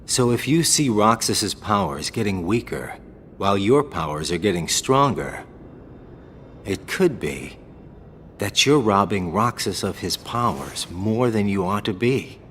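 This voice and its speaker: A cool, wry game character with a low, unhurried voice. He talks bluntly and knowingly, like a trusted friend, in a long reverberant space. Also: expressive, fairly bright tone. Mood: blunt, grave. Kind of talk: dubbed game cutscene acting. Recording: reverberant hall, faint rumbling noise.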